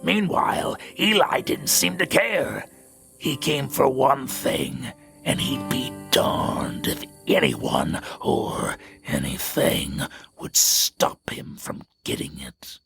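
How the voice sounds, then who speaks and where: Slow, gravelly male storyteller. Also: mid-range voice, very animated, a bit bright, faint music behind. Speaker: narrator. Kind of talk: scripted storytelling narration.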